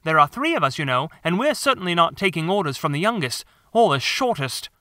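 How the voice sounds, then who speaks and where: A man's voice: on the high side, sing-song, brisk, pushed and bright, no echo, clean studio sound. A man voicing an indignant, stubborn young boy who argues and pleads, in an audiobook story.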